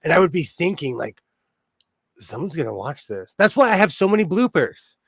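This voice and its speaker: A man from Southern California talks loosely and laughs a lot as he tells stories to his co-host. His voice sits a bit high for a man. The sound is close and dry, with almost no room around it. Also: very animated, moderate pace, vocal fry, slightly rough, dry recording. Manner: chatty storyteller, laughs often. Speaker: co-host of a live sewing stream. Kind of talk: casual chat.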